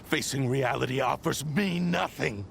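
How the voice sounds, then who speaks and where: An actor playing a prideful, bitter spirit of a cursed sword in a video game, speaking with haughty, menacing formality. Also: male voice, medium pitch, measured pace, gravelly. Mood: cold, resolute. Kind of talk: dramatic video game voice acting. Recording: music under the voice.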